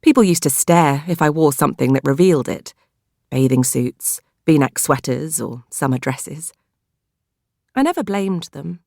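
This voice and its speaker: A woman with a British accent narrates a novel in the first person, in a measured, expressive storytelling manner. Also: deep voice, creaky, no echo, clean studio sound. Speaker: audiobook narrator.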